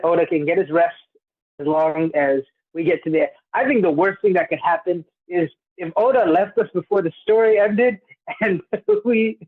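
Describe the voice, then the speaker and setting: A young man with a high, husky voice chats loosely on a podcast, often breaking into laughter and ribbing his co-host. The sound is thin and phone-like. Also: no echo. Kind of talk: casual podcast chat.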